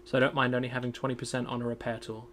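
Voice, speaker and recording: A man streaming a game in casual commentary. He is chatty and self-deprecating, thinks aloud, and has a loud voice. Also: mid-range voice, flat delivery, racing, a little hiss or hum.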